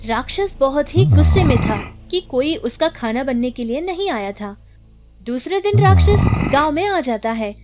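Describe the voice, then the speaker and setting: High-pitched woman narrating children's stories. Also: a little mellow. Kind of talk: scripted children's story narration. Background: music.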